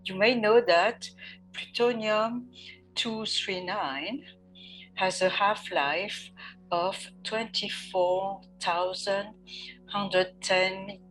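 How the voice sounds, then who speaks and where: A woman answering interview questions as an expert, slow and measured, pausing often with fillers. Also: mid-range voice, steady tone, a bit bright, faint music behind, rough recording.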